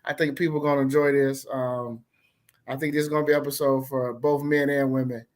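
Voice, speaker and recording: A man's voice: mid-range voice, level tone, a little fast. A man co-hosting a casual podcast, playful and slangy as he hypes up the episode. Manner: playful, slangy, hyping up the show. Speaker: podcast co-host.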